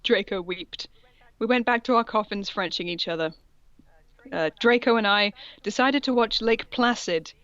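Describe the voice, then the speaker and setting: A woman's voice: medium pitch, moderate pace, a bit bright, dry recording, lo-fi sound. A woman co-hosting a comedic read-aloud, amused and breaking off for mocking asides. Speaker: co-host reading fan fiction aloud.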